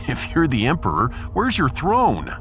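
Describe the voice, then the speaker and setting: A man's voice: a little high, wildly swooping pitch, unhurried, over music. A man playing a sarcastic, impatient male starship captain in a sci-fi audiodrama. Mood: impatient, mocking. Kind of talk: sci-fi audiodrama character acting.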